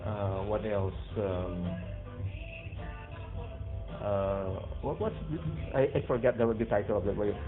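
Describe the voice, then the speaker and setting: A man's voice: on the low side, fairly even intonation, slow, pauses often. A man with a Filipino accent chatting casually over two-way radio, hesitant and searching for his words. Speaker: amateur radio operator in an on-air conversation. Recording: phone-like radio audio, faint music behind.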